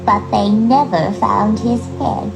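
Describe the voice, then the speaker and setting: A woman voicing an old village dame who tells ghost tales in a dramatic, drawn-out way for a storybook. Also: mid-range voice, gravelly, over music, pitched above the performer's own voice, poor audio. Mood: ominous. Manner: dramatic, drawn-out spooky telling.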